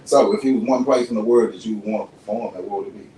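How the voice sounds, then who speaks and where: Brief: quick-talking Dallas man hosting, animated and slangy, echoey room, distant mic. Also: on the low side. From Dallas, Texas. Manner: quick, animated, slangy, often asks if you know what he's saying. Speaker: podcast host. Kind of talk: casual interview chat. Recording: echoey room, mic far off, faint hum.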